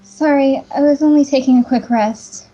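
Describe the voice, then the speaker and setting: A woman voicing a nervous, dazed young princess in a fantasy game, tentative, soft and husky. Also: high voice, fairly even intonation, moderate pace, close to an everyday voice. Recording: dull lo-fi mic, music behind.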